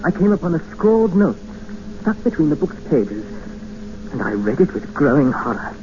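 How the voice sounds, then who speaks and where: Earnest captive Englishman, higher-pitched voice. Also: big pitch swings, moderate pace, background noise. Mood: grave, reflective. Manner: earnest, formal, dramatic. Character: desperate, educated male English captive expedition member, narrating. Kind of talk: 1950s radio drama narration and acting. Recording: muffled old radio recording with music.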